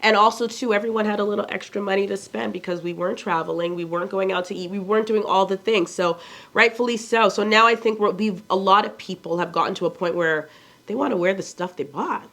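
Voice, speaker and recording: A woman's voice: medium pitch, fast, a bit bright. Blunt Nigerian-accented woman in her forties. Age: 40s. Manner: blunt, candid, chatty with rhetorical questions. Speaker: fashion vlogger. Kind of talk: casual chat to camera.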